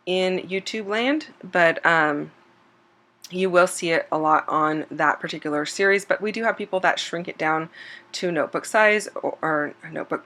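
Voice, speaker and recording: A slightly hoarse woman hosting a scrapbooking channel, chatting casually over a craft process video with plenty of ums and self-corrections. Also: low voice. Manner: relaxed and chatty, with frequent um fillers and self-corrections. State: slightly hoarse, losing her voice.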